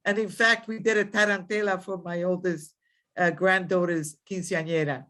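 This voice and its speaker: A woman's voice: on the low side, fairly even intonation, normal speed. Older woman, rambling ceremonial speech. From Dominican Republic. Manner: warm, rambling, many fillers and restarts. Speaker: college president. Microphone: video call.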